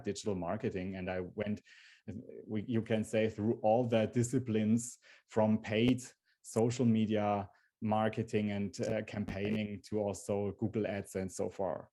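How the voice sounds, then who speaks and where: A man's voice: fairly low pitch, mellow. A man in his forties from Germany, with a German accent, hosting a live webinar presentation in an earnest way, often restarting his phrases. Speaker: webinar host.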